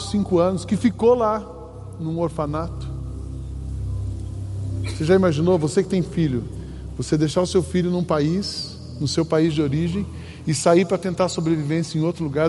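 Man, Brazilian Portuguese accent, pastor preaching, slightly high voice, long church reverb. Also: a little mellow. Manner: earnest, storytelling, emphatic pauses. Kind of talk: sermon. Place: church sanctuary. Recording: long hall reverb, faint ambient noise.

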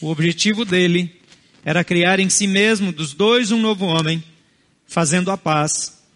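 A Brazilian man who first spoke another language at home, a pastor preaching an earnest sermon in short, measured phrases. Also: sits high, fairly bright tone, long reverb tail. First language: not Portuguese.

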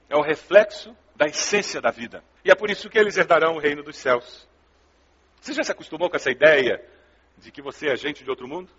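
A man's voice: high voice, fairly bright tone. A man with a Brazilian Portuguese accent preaching a sermon as a pastor, in an emphatic, didactic manner, in a large hall. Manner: emphatic, didactic, with rhetorical questions and repetition. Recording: dull, reverberant large-hall recording.

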